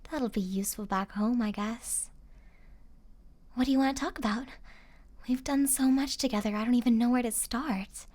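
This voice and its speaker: A woman's voice: a little high, moderate pace, long pauses, darkish tone, close to an everyday voice. A woman playing a loyal, distraught young survivor of a zombie apocalypse in an ASMR roleplay, with an emotional, pleading delivery. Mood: resigned, gentle.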